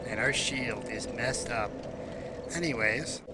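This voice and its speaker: A man's voice: mid-range voice, takes it slowly, heavy background noise. A man giving casual, self-deprecating commentary as he plays a video game, often muttering wryly to himself. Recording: loud game soundtrack behind voice. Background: video game music and sounds.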